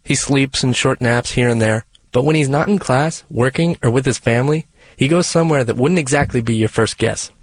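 A male reporter reading scripted narration for a radio feature in a measured, even storytelling delivery. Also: mid-range voice.

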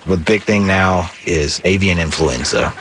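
Very deep-voiced man explaining steadily. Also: measured pace, fairly bright tone, a little hiss or hum. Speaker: conservation project manager, interviewee. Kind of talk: explanatory interview talk.

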